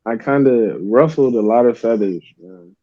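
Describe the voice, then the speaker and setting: A man's voice: mid-range voice, moderate pace, dry recording. A young man from Uganda, a guest artist, talking in a laid-back, rambling way with many fillers. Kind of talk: casual interview chat. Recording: dull lo-fi dry sound.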